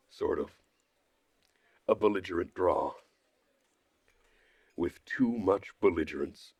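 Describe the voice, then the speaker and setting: Very low, slightly rough male narrator. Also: no room sound. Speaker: streamer reading a visual novel aloud. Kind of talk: first-person story narration.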